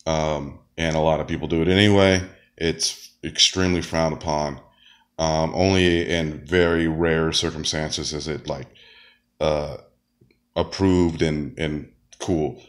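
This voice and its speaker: Sick man with a very deep voice. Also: steady tone, unhurried. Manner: rambling, many fillers and restarts. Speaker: wrestler and comedian. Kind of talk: casual monologue.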